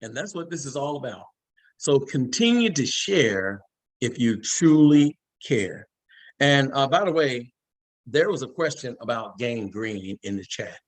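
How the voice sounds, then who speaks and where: A man's voice: medium pitch, animated, unhurried, no room sound, low-quality recording. A man hosting the call, folksy and stammering as he talks. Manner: folksy, stammering, laughs readily. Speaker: host. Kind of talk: casual chat.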